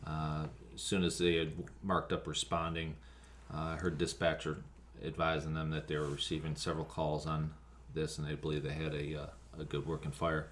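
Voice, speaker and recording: An American man, a fire officer being interviewed, recounting events haltingly with frequent ums and pauses. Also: very deep voice, completely flat delivery, a bit bright, a little hiss or hum. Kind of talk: spoken account of an emergency call, interview.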